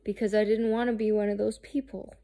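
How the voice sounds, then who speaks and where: A woman in her thirties, talking candidly and haltingly about herself, restarting often and swearing freely. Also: mid-range voice, flat delivery, warm tone. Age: 30s. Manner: halting, searching, with many restarts and fillers. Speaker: host. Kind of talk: candid personal monologue.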